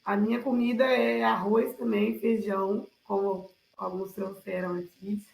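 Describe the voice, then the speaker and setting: A woman talks in a casual, reflective way. Her voice has a creaky edge at a middling pitch, and the sound is roomy and echoey, as on a video call. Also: flat delivery, unhurried, darkish tone. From Minas Gerais, Brazil. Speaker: participant in an online cultural gathering. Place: online video call, roomy indoor space.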